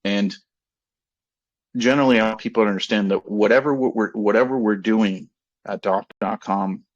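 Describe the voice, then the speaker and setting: A man in his thirties talking informally to investors on a conference call as a company executive, halting and rambling as he repeats and rephrases himself. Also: lowish voice. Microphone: conference call line. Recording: dull, lo-fi conference call audio.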